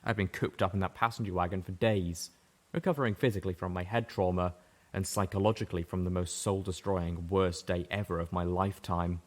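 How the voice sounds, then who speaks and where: Low-voiced man reading aloud. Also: fairly even intonation, fairly quick. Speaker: audiobook reader. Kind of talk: first-person narration of fan fiction.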